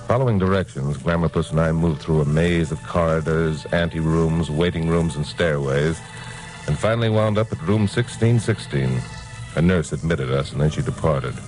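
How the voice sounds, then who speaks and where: A real deep breezy tough newsman detective, wry and clipped, old time radio with some music under. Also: low-quality recording. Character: wisecracking hardboiled newscaster detective narrating his case. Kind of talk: 1950s radio detective drama acting and narration.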